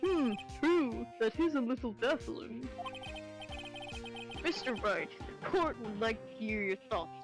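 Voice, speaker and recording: A stern old game judge presides, his lines formal and a touch halting, voiced by a woman. The voice sits a little high for an old man. Game music runs clearly behind him. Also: animated. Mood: thoughtful.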